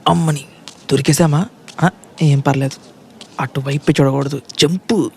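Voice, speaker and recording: A man's voice: a little high, steady tone, a little slow, vocal fry, slight background noise. A man acting as a frustrated, lovesick young college student in a comedy audio drama, grumbling in colloquial banter over music. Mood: panicky. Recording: music behind the voice.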